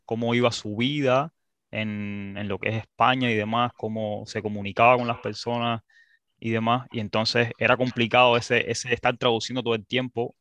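A man's voice: medium pitch, fairly bright tone, dry recording. A man studying abroad, chatting casually and hesitantly, with many restarts and fillers. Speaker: student guest. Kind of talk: casual conversation.

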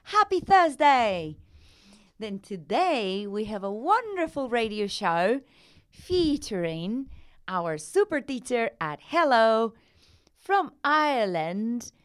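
A woman's voice: fairly high pitch, very animated, takes it slowly, dry recording, studio quality. A woman with a tongue hosting a radio interview, enthusiastic and effusive, sometimes searching for words in her questions. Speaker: radio host.

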